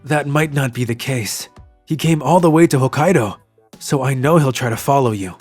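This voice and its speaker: Casting: male actor as earnest young office worker, mid-pitched, narrating a manga love story over faint music. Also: moderate pace, a little mellow, natural voice. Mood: concerned, explaining.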